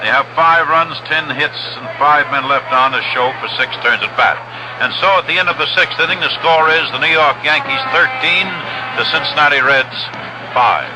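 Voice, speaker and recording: A man with an American accent giving measured, descriptive live radio commentary as a baseball play-by-play announcer. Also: on the high side, over music. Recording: noisy vintage radio broadcast. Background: steady stadium noise outdoors.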